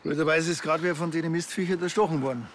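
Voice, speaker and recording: A man's voice: on the high side, steady tone, very fast, fairly bright tone, a little hiss or hum, lightly acted. An actor playing a sceptical, grumbling Bavarian policeman with a dry manner in a TV crime comedy.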